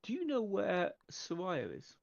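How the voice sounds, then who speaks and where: A man's voice: high voice, wildly swooping pitch, unhurried, creaky. A man talking through plans conversationally as a player in a tabletop roleplay game, on a muffled microphone. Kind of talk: conversational planning in character. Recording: dull, muffled microphone sound.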